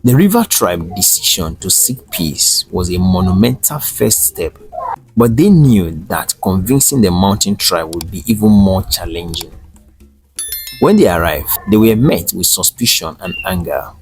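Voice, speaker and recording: A man's voice: deep voice, animated, unhurried. A man narrating a scripted folktale as a storyteller. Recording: roomy echo over faint music.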